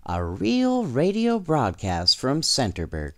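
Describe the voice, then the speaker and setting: A man's voice: mid-range voice, huge pitch swings, slow pace, fairly bright tone, dry recording, studio quality, pitched above the performer's own voice, heavily acted. A man voicing a curious, eager young boy from a small town, earnest and exclaiming, in a storybook reading. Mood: eager surprise.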